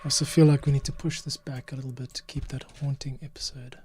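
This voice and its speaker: A man's voice: medium pitch, little pitch movement, fast, a little mellow, slight background noise. A man casually talking through his work as an audio editor, muttering to himself as he goes. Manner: thinking aloud, muttering to himself. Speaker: podcast editor. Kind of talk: casual behind-the-scenes commentary while editing audio.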